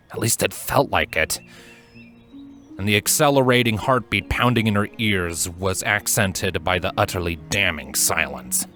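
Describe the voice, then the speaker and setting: A man reading a story aloud as narrator, in an expressive, measured, dramatic storytelling style. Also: sits low. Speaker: fan fiction narrator. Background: background music.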